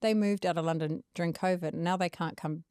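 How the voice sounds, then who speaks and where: A woman with a New Zealand accent, hosting a casual interview, lively and quick to laugh. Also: on the low side, clear, resonant tone, no room sound, clean studio sound. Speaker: podcast host.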